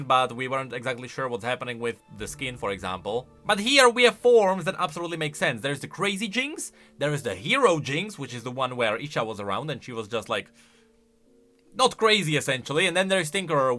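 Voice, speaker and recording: A man doing off-the-cuff game commentary as a YouTuber. His voice is fairly high and bright, swinging a lot in pitch as he exclaims and reacts. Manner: animated, exclamatory.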